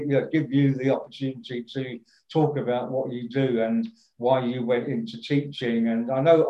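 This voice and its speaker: Podcast host with a mellow voice in an echoing room. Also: male voice, medium pitch, dull audio. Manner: hesitant, many fillers and restarts, friendly. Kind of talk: casual interview.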